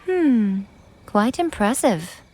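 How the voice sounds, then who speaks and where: A woman's voice: fairly high pitch, big pitch swings, takes it slowly, a little mellow, slight background noise. A woman playing an elegant, self-assured shrine maiden in a video game, poised and playfully sly. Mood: amused, poised. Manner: poised, playful, mock-sympathetic. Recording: music behind the voice.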